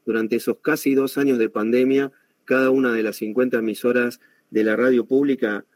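Argentine male radio host, mid pitch, dry close sound, brisk and friendly. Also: steady tone, darkish tone, no echo. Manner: brisk, friendly, speeds up in asides. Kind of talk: radio talk show hosting.

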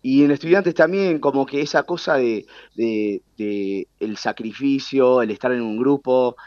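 Man, young adult, Argentine Spanish accent, halting with fillers, dry muffled sound. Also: medium pitch, darkish tone, no room sound. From United States. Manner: hesitant, many fillers and restarts. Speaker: guest. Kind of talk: casual interview talk.

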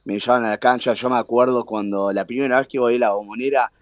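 A young man speaks with an Argentine Spanish accent, pausing often and restarting his sentences. The recording is dry and somewhat muffled, with little room sound. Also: medium pitch, steady tone, no room sound. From United States. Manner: hesitant, many fillers and restarts. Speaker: guest. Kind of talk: casual interview talk.

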